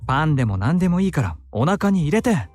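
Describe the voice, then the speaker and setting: A man's voice: on the high side, sing-song, very fast, a little mellow. An actor playing a fussy, motherly, effeminate male friend in a Japanese visual novel. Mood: fussing. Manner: effeminate, scolding. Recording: game audio with faint music.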